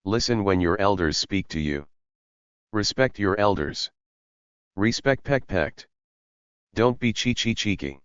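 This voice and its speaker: A man's voice: on the low side, lively intonation, measured pace, long pauses, clear, resonant tone, no room sound, dull audio. A man voicing a cranky old male sparrow who scolds and stutters into chirps. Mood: scolding. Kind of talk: audiobook character voice.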